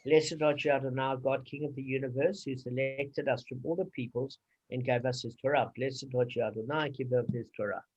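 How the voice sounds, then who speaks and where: A man leading a study group, reciting blessings and reading a translation slowly and deliberately. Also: mid-range voice, steady tone, a little mellow, breathy edge, highs cut off.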